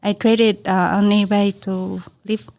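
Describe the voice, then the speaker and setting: A woman in her forties with a Vietnamese accent, telling her own story as a podcast guest in halting second-language English. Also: medium pitch, flat delivery, vocal fry, darkish tone. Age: 40s. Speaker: podcast guest, small business owner. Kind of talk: conversational personal storytelling.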